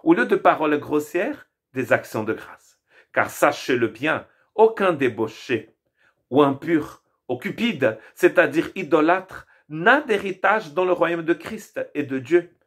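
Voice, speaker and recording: A man whose first language is Portuguese gives a daily Bible devotional as a pastor, earnest and preacherly, slowing down to stress key words. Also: a little high, breathy edge.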